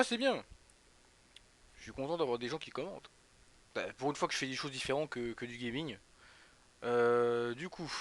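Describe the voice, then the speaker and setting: A man's voice: mid-range voice, a bit bright. Young man rambling through a live stream. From northeastern France. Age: young adult. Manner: rambling, chatty, talks straight to viewers by name. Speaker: Twitch streamer. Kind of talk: casual live football commentary while chatting with viewers.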